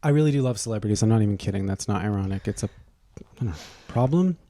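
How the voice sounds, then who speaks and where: Man with a dark, mellow voice, dryly snarky. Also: on the low side, very fast. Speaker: podcast co-host. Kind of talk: casual comedic chat.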